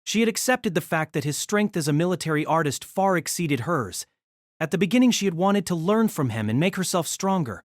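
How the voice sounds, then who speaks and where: Animated woman narrating a novel. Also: on the low side, a little fast, no echo, clean studio sound.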